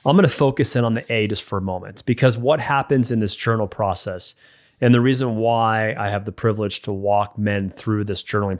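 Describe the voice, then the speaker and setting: A man speaking as a men's mentor, giving practical faith-based advice in an emphatic, direct way. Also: fairly low pitch. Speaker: men's mentor and podcast co-host. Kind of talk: faith-based coaching talk.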